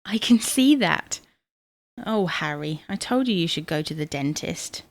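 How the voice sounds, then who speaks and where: Woman playing brisk motherly wife, close dry sound. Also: on the low side, animated, dry recording. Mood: firm, caring. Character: fussing, no-nonsense middle-aged wife of a babyish husband. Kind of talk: domestic audio comedy drama acting.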